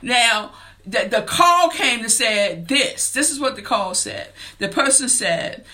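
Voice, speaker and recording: Woman, fifties, faith talk host, bright voice, earnest, preacher-like. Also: on the high side, slow. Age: 50s. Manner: earnest and preacher-like, rising to excited emphasis. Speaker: podcast and radio show host. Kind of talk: faith-based motivational talk.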